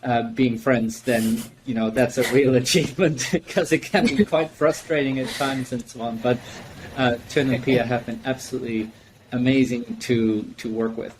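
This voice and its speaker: Brief: man hosting a video-call panel, mid pitch, chatty with many restarts, faint hiss. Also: moderate pace. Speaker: host and book editor. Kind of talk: casual online book launch hosting.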